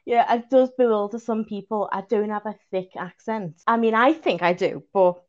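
A young woman from Liverpool with a Liverpool accent, chatting casually as a podcast guest, self-deprecating and quick to laugh. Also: mid-range voice, dull audio. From Liverpool, England. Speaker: podcast guest, video journalist. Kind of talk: casual chat.

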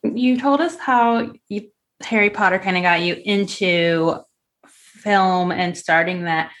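A woman co-hosts a podcast and asks her guest questions in a relaxed, conversational way. Her voice sits at an ordinary middle pitch. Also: takes it slowly. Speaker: podcast co-host. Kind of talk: casual podcast interview.

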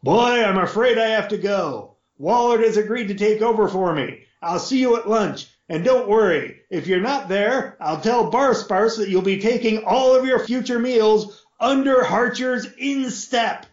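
A man's voice: unhurried, few pauses. A man doing a blustering pompous lord. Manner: loud, high, hectoring. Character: blustering middle-aged male lord, pompous and impatient. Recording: roomy, dull lo-fi audio.

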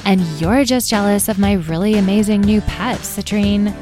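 A woman's voice: mid-range voice, normal speed, music in the background, voice pushed up, natural voice. A woman voicing a dreamy, absent-minded fairy advisor in a storybook. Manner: dreamy and drifting.

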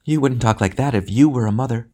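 A man voicing the bitter ghost of a mother, snappish and demanding, raising his voice higher for her. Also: fast, dark tone, close to an everyday voice. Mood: impatient, bitter.

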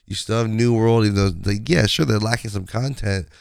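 A man hosting his podcast in a casual, rambling way, his voice raspy from a sinus infection. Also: lowish voice, brisk, no echo, clean studio sound. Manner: rambling, conversational, many fillers. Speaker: podcast host. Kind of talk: casual solo podcast chat.

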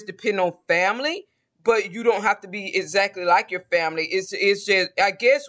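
A man in his forties speaks with a Southern American accent and a high, bright voice. He talks casually and reflectively, often restarting a thought before he finishes it. It sounds like a personal vlog addressed straight to his viewers. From Southern United States. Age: 40s. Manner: rambling and reflective, with frequent restarts. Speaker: vlogger. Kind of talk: casual monologue to camera.

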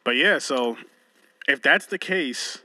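A man's voice: medium pitch, expressive, slow, pushed and bright, slight background noise. A man co-hosting a podcast, chatting loosely with a friend, joking and swearing as he goes. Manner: loose, joking, profane banter. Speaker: podcast co-host. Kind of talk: casual chat.

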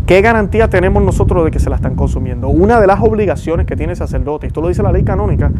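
A man's voice: on the high side, background noise. Earnest Puerto Rican man posing questions. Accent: Puerto Rican Spanish. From Puerto Rico. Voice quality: frequent restarts and "¿verdad?" tags. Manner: earnest, asks many rhetorical questions. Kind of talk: religious commentary to viewers.

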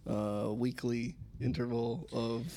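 A man's voice: mid-range voice, level tone, slow, gravelly, dark tone. A man co-hosting a podcast in casual chat, joking around and agreeing readily with his partner. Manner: joking, agreeable, many yeahs. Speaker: podcast co-host.

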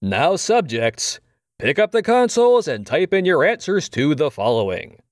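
A man playing a villainous game show host in an audio drama, with drawn-out, teasing showman patter. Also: a little high, sing-song, dry recording, clean studio sound. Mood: gleeful, teasing. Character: showboating male villain game show host, toying with captives.

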